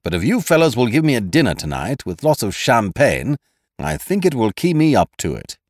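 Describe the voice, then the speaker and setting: A man's voice: mid-range voice, sing-song, moderate pace, no echo, studio quality. A man voicing a mild, nervous young man who bargains in a sulky tone. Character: mild, oily young man turning truculent.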